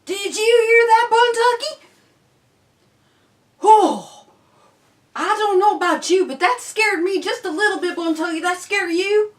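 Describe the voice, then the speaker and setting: A woman playing a sweet, chatty grandmother on a children's show, talking in an animated, folksy, exaggerated way. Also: very high voice, a little slow, long pauses, distant mic, pitched above the performer's own voice. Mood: startled. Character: sweet chatty grandmother with a big stuffed bear.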